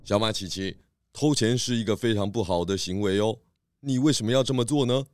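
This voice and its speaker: A man playing a calm, kindly pig detective in a children's audio drama, patiently explaining and gently instructing. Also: lowish voice, fairly quick, no echo, clean studio sound, close to an everyday voice. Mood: gently serious.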